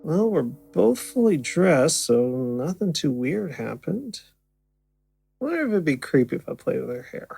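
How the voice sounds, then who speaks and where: A woman's voice: sits low, sing-song, unhurried, vocal fry, warm tone, husky, full character voice. A woman playing a young stage magician, affectionate and easily flustered, in a naturalistic audio drama. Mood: groggy, musing.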